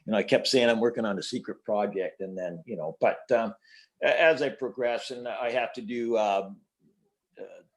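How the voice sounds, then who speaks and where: A man's voice: lowish voice, moderate pace. An older man chatting casually as an interview guest, rambling in a folksy, self-deprecating way about his hobby. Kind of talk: casual chat.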